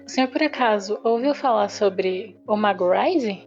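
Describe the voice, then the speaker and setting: Lady player in an rpg session, casual, mid pitch, music behind, bit muffled. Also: moderate pace, a little mellow. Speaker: roleplaying game player. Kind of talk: casual tabletop roleplay, stating actions and in-character questions. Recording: slightly muffled, band-limited audio with music. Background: background music.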